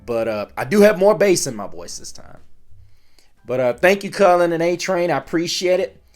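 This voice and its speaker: A man's voice: a little high, expressive, normal speed, soft background music. A man hosting his own sports channel, chatting casually to viewers with a voice worn down by a recent illness. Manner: rambling, conversational, with frequent restarts and fillers.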